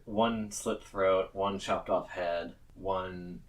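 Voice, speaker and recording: Male game master, low-pitched, informal improvised tabletop narration, recorded at some distance from the mic. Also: steady tone, drawn-out words. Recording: distant microphone.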